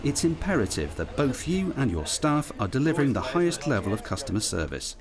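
A man's voice: medium pitch, very animated, brisk, crowd noise. A man reading scripted narration for a training film. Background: background music.

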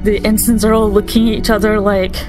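A woman's voice: medium pitch, flat delivery, a little fast, over music. A woman chatting casually as a player in a tabletop game. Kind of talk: casual chat.

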